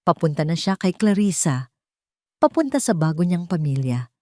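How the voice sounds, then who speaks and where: Female storyteller, Filipino accent, slightly low register, measured and dramatic scripted delivery, very dry recording. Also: big pitch swings, darkish tone, no echo. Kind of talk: scripted first-person dramatic story narration.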